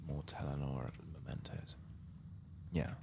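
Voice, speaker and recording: A man softly narrating a video game in ASMR style, murmuring and often slipping into a whisper. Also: extremely low pitch, level tone, a little slow, gravelly, noisy background. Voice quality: soft, often breaking into whisper. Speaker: ASMR gaming host. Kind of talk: soft-spoken and whispered ASMR game playthrough.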